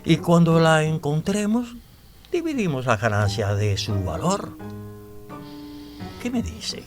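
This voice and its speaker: An actor playing a self-assured, scheming blackmailer in a radio drama, speaking in a deliberate, insinuating, theatrical way. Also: male voice, fairly high pitch, very animated, music in the background. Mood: calculating, probing. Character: scheming, self-assured male blackmailer proposing a treasure alliance.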